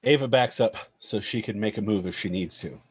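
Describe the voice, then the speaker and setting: A man narrates a screenplay, reading out scene headings and action lines. His voice is fairly low with a noticeably husky, unclear grain. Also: brisk. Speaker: narrator reading stage directions.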